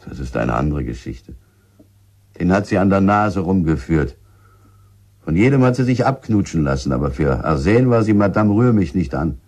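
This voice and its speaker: A bitter village husband in a radio drama, with a very low, husky voice. He talks coarsely and guardedly, halting over his answers like someone under suspicion. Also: darkish tone, full character voice. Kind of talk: German radio drama acting.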